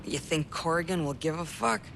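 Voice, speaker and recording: An actor playing a bitter, drunk and hostile convoy rival in a video game, full of contempt. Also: male voice, on the high side, moderate pace. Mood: bitter, menacing. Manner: contemptuous, swearing. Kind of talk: video game cutscene acting. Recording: clear ambient noise behind.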